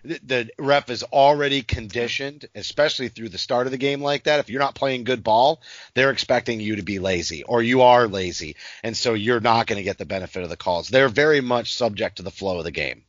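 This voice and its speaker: A man's voice: medium pitch, brisk, no room sound, muffled recording. A man hosting a casual sports podcast, talking in an informal, blunt and opinionated way. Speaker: podcast host.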